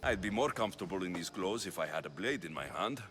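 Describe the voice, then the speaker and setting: A wary young mercenary in a video game, blunt and dry. His voice is forceful and slightly low, with faint music behind it. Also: lively intonation, fast. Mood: guarded. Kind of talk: video game dialogue acting.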